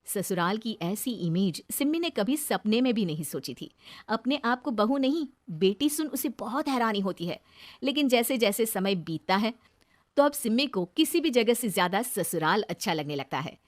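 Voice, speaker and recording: A woman's voice: mid-range voice, lively intonation, moderate pace. A woman reading scripted narration for a moral story as its storyteller.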